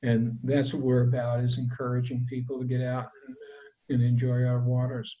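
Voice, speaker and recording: A man hosting an online club meeting in a relaxed, chatty way, with plenty of fillers. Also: mid-range voice, normal speed. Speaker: meeting host. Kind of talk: casual meeting wrap-up. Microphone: video call. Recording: dull lo-fi call audio.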